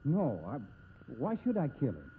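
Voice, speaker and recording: An actor playing an evasive, defensive American cowboy gambler in a 1950s radio drama, blustering in a folksy western drawl. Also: male voice, on the high side, wildly swooping pitch, normal speed. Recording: noisy, narrow-band old radio recording.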